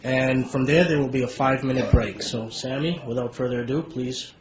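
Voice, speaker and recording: A man's voice: medium pitch, fairly even intonation, fairly quick, crowd noise. A man moderating a debate, formally introducing speakers to the audience. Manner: formal, announcing. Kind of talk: announcing. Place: live debate hall. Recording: echoey hall, off-mic, background noise.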